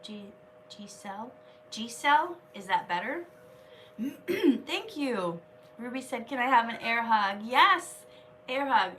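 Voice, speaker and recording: Slow-talking woman with a cold. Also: fairly high pitch, animated, sharp tone, distant mic, slight background noise. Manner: chatty, reads viewer comments aloud. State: has a cold, coughing. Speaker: live stream host. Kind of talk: casual live stream chat with viewers.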